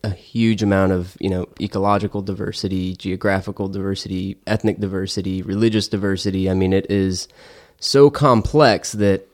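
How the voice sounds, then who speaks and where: Male host, deep voice, conversational interviewer who spaces out lists for drama. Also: fairly even intonation, brisk, hardly any pauses.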